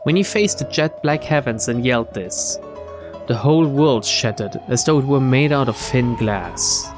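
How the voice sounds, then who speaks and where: A man's voice: medium pitch, animated, moderate pace, over music. A man hosting a let's-play, reading game text aloud and commenting casually. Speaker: gameplay host.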